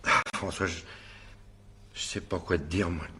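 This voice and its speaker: An actor playing a gruff, hot-tempered husband suspected of murder in a French radio crime drama, curt and defensive. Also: male voice, deep voice, fairly even intonation, a little slow, pushed and bright, heavily acted.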